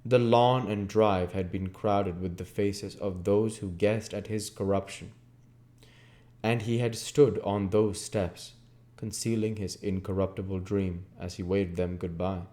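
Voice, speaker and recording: Low, mellow man reading a novel aloud. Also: steady tone, unhurried. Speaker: reader of a video book series. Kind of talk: audiobook-style reading of a classic novel.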